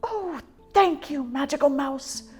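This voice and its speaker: A gentle old lady from a storybook, acted out by a woman reader, anxious and tender. Her voice lifts high and exclaims readily. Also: lively intonation, slow, faint music behind. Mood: grateful. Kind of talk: storybook character voice.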